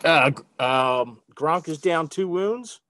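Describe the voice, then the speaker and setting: A man's voice: a little high, expressive, takes it slowly, bright, forceful tone. A man playing a tabletop role-playing game, talking casually through his turn.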